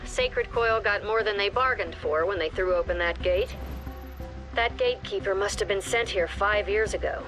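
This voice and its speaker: An actress playing the authoritative director of a tactical squad in a video game, giving measured, firm mission briefings. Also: female voice, medium pitch, a bit bright, faint music behind, natural voice, rough recording. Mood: composed briefing.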